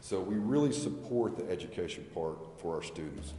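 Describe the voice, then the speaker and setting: School representative, American male, very low mellow voice, presenting in a reverberant chamber. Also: expressive, moderate pace. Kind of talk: public presentation to a council. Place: large indoor council chamber. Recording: echoey reverberant hall, background noise.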